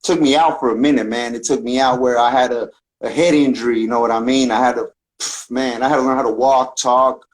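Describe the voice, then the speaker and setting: A man's voice: medium pitch, fairly even intonation, brisk, a little hiss or hum, rough recording. A man from the Bay Area with a Northern California accent, telling his story casually and earnestly in loose street slang. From San Francisco Bay Area, United States. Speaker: podcast guest, talkbox musician.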